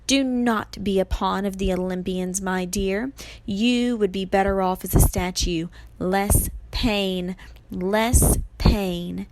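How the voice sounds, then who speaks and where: A woman's voice: mid-range voice, steady tone, takes it slowly, darkish tone, a little hiss or hum, rough recording. A woman voicing a sinister grandmotherly monster in a storybook, falsely sweet and coaxing, with a vengeful snarl underneath. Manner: coaxing purr that breaks into snarls.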